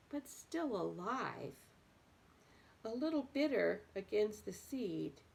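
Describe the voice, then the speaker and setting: A woman's voice: lowish voice, gravelly. A woman reading a book aloud expressively, with emphatic phrasing. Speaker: reader of a book aloud for adults.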